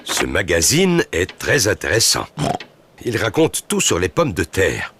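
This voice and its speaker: A man's voice: sits low, huge pitch swings, unhurried, a bit bright, slight background noise, heavily acted. A man voicing a good-humoured, proud daddy pig in a preschool cartoon. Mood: pleased.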